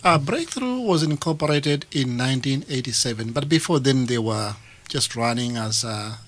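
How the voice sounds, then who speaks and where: A man from Kenya with a Kenyan accent, a guest on a radio interview, speaking with many pauses and fillers. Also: medium pitch, lively intonation, fairly bright tone. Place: radio studio.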